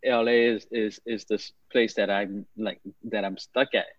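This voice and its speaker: Low-voiced man, relaxed storyteller. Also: a little slow, no room sound, dull audio. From Haiti. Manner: easygoing, repeats words as he talks. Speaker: guest.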